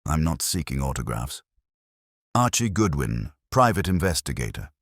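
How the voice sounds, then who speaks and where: A man's voice: extremely low pitch, big pitch swings, moderate pace, dry recording, clean studio sound, exaggerated voice. A man voicing a wry, probing male private investigator in a detective audiobook, sardonic and pressing.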